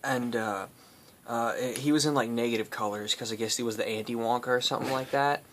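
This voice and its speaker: A man telling an improvised comic story in a halting, rambling way, as host among friends. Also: mid-range voice, steady tone, fairly bright tone. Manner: rambling, halting, often breaking into laughter. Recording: noisy home recording with clicks.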